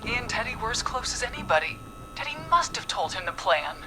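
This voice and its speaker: Breathy, vengeful woman killer. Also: a little high, sing-song, brisk, music in the background. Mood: bitter, haunted. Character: vengeful female killer, bitter and menacing. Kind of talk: scripted game voice acting.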